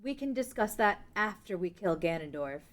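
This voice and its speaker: A woman's voice: mid-range voice, big pitch swings, normal speed, close to an everyday voice. A woman playing a determined, commanding young fantasy queen in an amateur table read. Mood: resolute.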